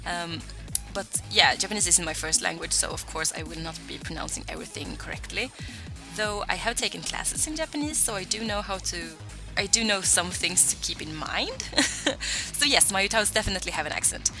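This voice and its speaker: Young woman with a vocal fry. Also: fairly low pitch, very animated, brisk, over music. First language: Swedish. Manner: chatty and self-deprecating, with filler "like" and asides. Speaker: voicebank creator answering fan questions. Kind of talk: casual Q&A vlog talk.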